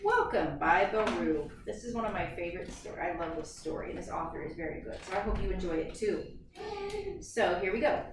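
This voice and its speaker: A woman's voice: lowish voice, fairly quick. A woman teacher leading an interactive read-aloud for young children, asking lots of questions and prompting them. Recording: very echoey room, far mic, loud noise.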